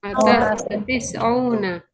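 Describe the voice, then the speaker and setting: A woman's voice: on the high side, fairly even intonation, slow pace, over a noisy crowd. A female student in an online class giving short, tentative answers and asking questions.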